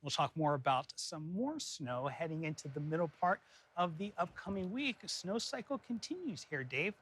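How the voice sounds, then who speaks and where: A man's voice: fairly high pitch, expressive, moderate pace, fairly bright tone, husky. A man presenting weather and chatting on a local TV news show. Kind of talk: local news forecast and chat. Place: TV studio.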